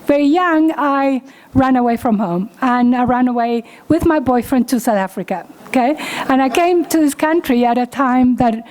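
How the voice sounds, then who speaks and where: Keynote speaker: a Uruguayan-accented woman in her fifties, native Spanish speaker, candid storyteller, pitch a touch high. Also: normal speed, darkish tone. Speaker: guest speaker. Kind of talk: keynote speech.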